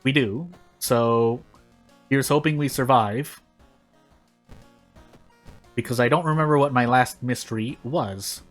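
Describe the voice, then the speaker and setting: A man's voice: mid-range voice, slow, long pauses, faint music behind. A man hosting a gaming channel, giving casual, rambling gameplay commentary with self-deprecating asides.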